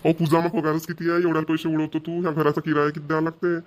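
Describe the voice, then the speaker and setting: Man acting a fast-talking, nagging young husband. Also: fairly high pitch, completely flat delivery, close to an everyday voice. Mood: exasperated. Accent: Vidarbha Marathi. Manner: nagging, complaining. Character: harried young husband, exasperated, thrifty and scolding. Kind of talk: amateur cartoon voice acting.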